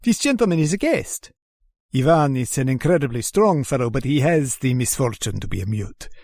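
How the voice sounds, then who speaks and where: A man's voice: medium pitch, sing-song, normal speed, darkish tone, dry recording, studio quality. A man playing a genteel, menacing aristocratic general and hunter in a radio drama, courtly, formal and theatrical in manner. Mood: commanding. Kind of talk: old-time radio drama acting.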